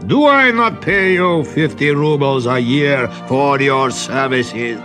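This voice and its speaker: A man voicing a haughty, bullying prince in a storybook, quick to anger, with imperious commands. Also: medium pitch, music in the background, voice pushed up, close to an everyday voice. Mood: sneering anger. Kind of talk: storybook character acting.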